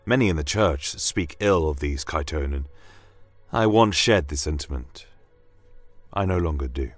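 A man playing a deep-voiced, brooding fantasy inquisitor. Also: dry recording. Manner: measured, brooding, with deliberate pauses. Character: solemn male church inquisitor recounting a wondrous, troubling journey. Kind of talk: dramatic first-person fantasy audio narration. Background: soft fantasy ambience music.

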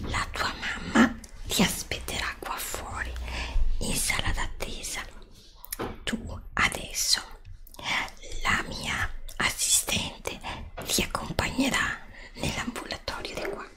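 A woman whispering slowly and soothingly close to the mic in an ASMR roleplay, repeating gentle reassurances as a dentist. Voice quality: breathy whisper. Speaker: ASMR creator role-playing a dentist. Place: indoors at a desk before a green screen. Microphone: Blue Yeti desktop microphone, close up.

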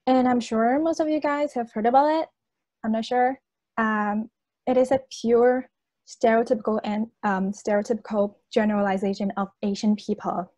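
A woman's voice: on the high side, mellow, no echo. A young woman from China, a native Chinese speaker, delivering a persuasive competition speech in an earnest, audience-addressing manner. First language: Chinese (Hainanese and Mandarin). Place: indoors on a video call.

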